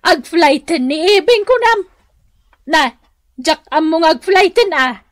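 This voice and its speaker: A high-pitched older mother from a radio comedy. She is blunt and full of complaint, scolding in exclamations with a Northern Luzon Filipino accent. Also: lively intonation, slow pace, heavily acted. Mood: surprised, eager. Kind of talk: radio comedy drama acting.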